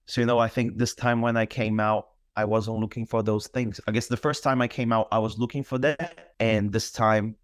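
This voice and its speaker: A man's voice: fairly low pitch, fairly even intonation, normal speed, hardly any pauses, smooth, dry recording, clean studio sound. A man in his thirties from Brazil, a Portuguese speaker, chatting in an interview as a fitness instructor, thoughtful and full of fillers. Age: 30s. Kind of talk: casual interview chat.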